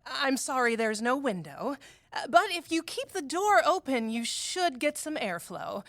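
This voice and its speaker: Brisk, dry woman boss. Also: high voice, big pitch swings, fairly bright tone, no room sound, exaggerated voice. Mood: apologetic. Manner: businesslike, dry, matter-of-fact. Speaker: office director and boss. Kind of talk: scripted audio drama dialogue.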